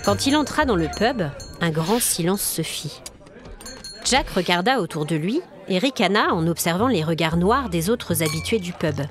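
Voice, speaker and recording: A woman's voice: lowish voice, animated, moderate pace, music in the background. A woman storyteller narrating a scripted audio story in a dramatic, atmospheric manner. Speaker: storyteller, podcast host.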